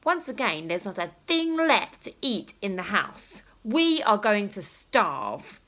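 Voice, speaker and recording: Casting: woman to read a harried, indignant father in a children's story, lively swings in pitch. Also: takes it slowly. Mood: exasperated. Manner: indignant, exclaiming. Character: exasperated, protesting father of a greedy baby.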